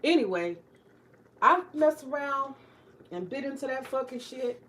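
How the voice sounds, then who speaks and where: A woman's voice: high-pitched, animated, unhurried, fairly bright tone. A woman in her forties, a retired teacher, chatting candidly to viewers as she cooks in her home kitchen, joking and swearing freely. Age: 40s. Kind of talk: casual cooking vlog chat.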